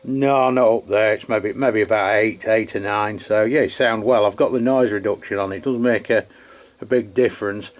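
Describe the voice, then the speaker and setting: A man chats casually in a low voice. He talks like a hobby CB operator in an easy two-way exchange. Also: steady tone, moderate pace. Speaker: radio review channel host, CB operator. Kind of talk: casual two-way radio chat.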